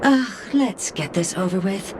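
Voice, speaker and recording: A bored, sarcastic game robot voiced by a woman. The delivery is slow and impatient, with wide dismissive swings in tone. There is clear noise behind it. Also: mid-range voice, lively intonation, lightly acted. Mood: irritated, bored. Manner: weary, dismissive, drawling impatience. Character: grumpy, bored, sarcastic robot fed up with its job. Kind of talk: scripted game cutscene dialogue.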